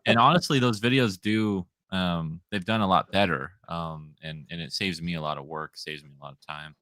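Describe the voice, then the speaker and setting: A man in his twenties with a deep, radio-style voice, talking easily and meanderingly as a comic writer guest in a casual interview. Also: normal speed, sharp tone, no echo. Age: 20s. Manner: easygoing, meandering sentences.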